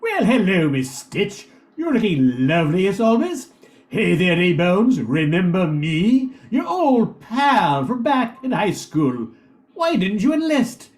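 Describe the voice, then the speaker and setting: A man's voice: high-pitched, very animated, slow pace, heavily acted. A man playing a villainous small-town gambler and bully, taunting and leering, in an amateur radio play. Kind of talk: amateur 1940s-style radio comedy acting.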